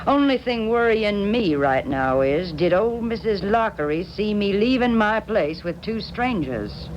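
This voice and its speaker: A woman's voice: mid-range voice, expressive, measured pace, muffled recording, close to an everyday voice. Actress playing a tough old American country woman, noisy recording. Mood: grumbling, wary. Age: older. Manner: folksy, blunt, drawling plain speech. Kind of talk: 1970s radio drama acting.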